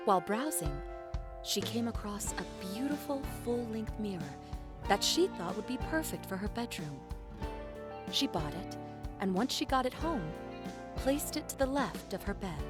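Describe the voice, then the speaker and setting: A woman performs a sincere young dream girl in an indie audio play. She speaks sweetly and gently at a middle pitch, like a storyteller, with music underneath. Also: expressive, normal speed, natural voice. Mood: gentle storytelling. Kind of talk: indie audio drama acting.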